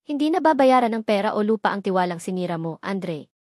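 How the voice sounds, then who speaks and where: A woman's voice: medium pitch, lively intonation, a little fast, darkish tone, no room sound, studio quality, lightly acted. A woman playing a proud, hurt young woman in a dramatic story, speaking hard, cold and accusing. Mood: angry, hurt.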